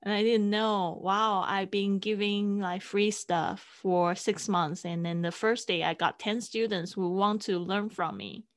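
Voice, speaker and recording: A woman whose first language is Mandarin, speaking English as a podcast guest in an unhurried way, with many fillers and restarts. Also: mid-range voice. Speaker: guest, online teacher and podcaster. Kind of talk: casual interview conversation.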